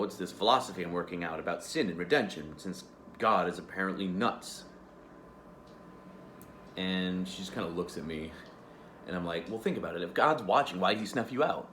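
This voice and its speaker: The ghost of a tiger, male, profane and wry, haunted by guilt over his past, played by a man. His voice sits very low but swings widely as he tells his story. The sound is roomy and echoey. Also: fairly bright tone, a little hiss or hum. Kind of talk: stage monologue. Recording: echoey room.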